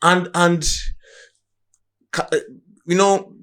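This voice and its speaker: A man's voice: sits high, level tone, takes it slowly, a bit bright. A Nigerian man with a Nigerian accent, hosting a discussion, often breaking in with short prompts and questions. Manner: interjecting, prompting the guest. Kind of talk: interview, discussion.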